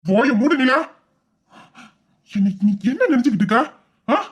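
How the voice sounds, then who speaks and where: A man playing a young man who is hurt and quick to anger, scolding and exasperated in an audio drama. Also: extremely high pitch, expressive, breathy edge, exaggerated voice. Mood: furious. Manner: scolding, exasperated, shouting at times. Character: young man, wounded and quick-tempered lover.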